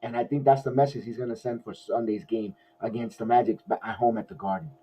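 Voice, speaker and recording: A man hosting a casual post-game sports podcast, his voice hoarse, rambling with many restarts like an excited fan. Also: medium pitch, fast talker, slight background noise. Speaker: podcast host. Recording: dull lo-fi audio.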